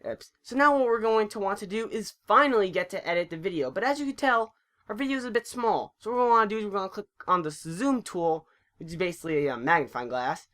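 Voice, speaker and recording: A narrator giving an informal, chatty software tutorial, occasionally stumbling over words. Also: medium pitch, animated, quick pace. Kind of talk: casual screen-recording tutorial narration.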